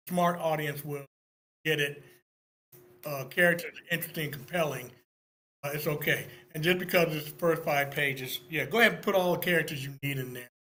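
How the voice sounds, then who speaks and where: A man giving casual critique on an online call, halting as he thinks aloud. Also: on the high side, pushed and bright. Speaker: participant giving writing feedback.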